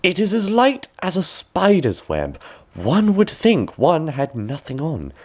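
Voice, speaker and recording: A man voicing a cunning, flattering swindler weaver in a fairy tale, with showy sales patter. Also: fairly high pitch, slow, pitched above the performer's own voice, exaggerated voice.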